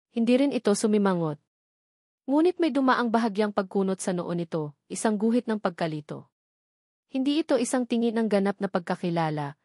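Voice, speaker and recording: A woman narrating a scripted romance drama in an expressive, melodramatic storytelling manner. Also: medium pitch, dry recording, studio quality.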